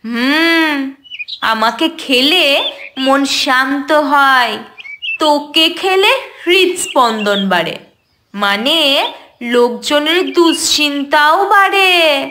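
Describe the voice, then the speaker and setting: A woman's voice: high voice, animated, drawn-out words, pushed and bright, a little hiss or hum, heavily acted. A woman voicing a proud, sassy female cup of tea in a cartoon comedy, bickering with teasing, scolding emphasis. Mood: grumpy, teasing. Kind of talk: cartoon audio comedy dialogue.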